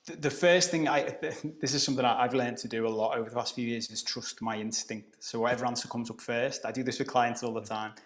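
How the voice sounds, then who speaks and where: A middle-aged man from Liverpool with a softened Scouse accent, explaining ideas as a coach on a podcast. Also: mid-range voice, steady tone, brisk, hardly any pauses. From Liverpool, England. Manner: explanatory, conversational, occasional swearing. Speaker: guest coach. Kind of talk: podcast conversation.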